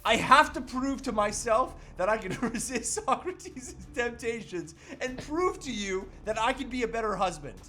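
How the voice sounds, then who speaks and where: A man's voice: very high-pitched, animated, normal speed, few pauses, bright, forceful tone, exaggerated voice. A man playing a determined, earnest and melodramatic husband on a crusade in an amateur comedy table read. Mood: defiant, melodramatic.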